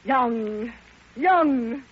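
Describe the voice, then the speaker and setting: Old radio drama: lovelorn older woman, impassioned and halting, very unhurried, muffled vintage recording with music. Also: on the high side, lively intonation, husky, exaggerated voice. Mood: fervent confession. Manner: impassioned, theatrical, halting delivery. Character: grieving, lovelorn old woman pouring out her heart. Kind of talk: classic radio drama monologue.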